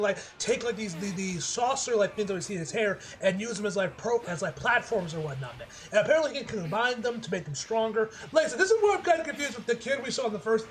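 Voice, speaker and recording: A man giving a casual, rambling episode review as an excitable fan, with slangy, profane talk. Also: high-pitched. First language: English. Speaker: anime reviewer.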